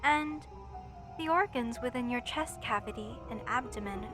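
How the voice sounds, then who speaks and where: A woman playing an obsessed, lovesick mortician in a horror audio roleplay, speaking tenderly and deliberately with sweet menace. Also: fairly high pitch, over music, lightly acted. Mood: loving menace. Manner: slow, tender, deliberate, theatrical. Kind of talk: horror roleplay monologue addressed to listener.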